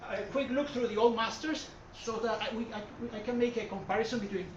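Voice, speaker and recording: A man with a Puerto Rican Spanish accent lectures on art in a gallery hall, wandering through his sentences, stopping and restarting, leaning on plenty of "uh" and "um". He sits at a middling pitch and is picked up from well back in a reverberant room. The sound is dull and muffled, with steady hiss and murmur underneath. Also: fast talker. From Puerto Rico. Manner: rambling, self-correcting, with many fillers. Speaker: art history presenter. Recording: far-off echoey room mic, dull and noisy.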